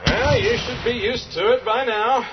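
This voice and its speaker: An actor playing a weary, wry sheriff in a radio play, with a folksy, offhand, hesitant delivery. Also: male voice, very high-pitched, unhurried, dull audio, noisy background. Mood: gruff, commanding. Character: weary, gruff, wry male small-town sheriff.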